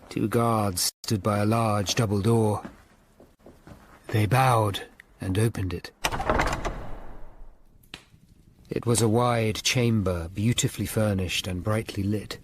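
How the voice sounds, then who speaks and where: Male first-person drama narrator: Tudor lawyer, played by an actor, sombre, unhurried, faint noise behind. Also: lowish voice, close to an everyday voice. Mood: apprehensive.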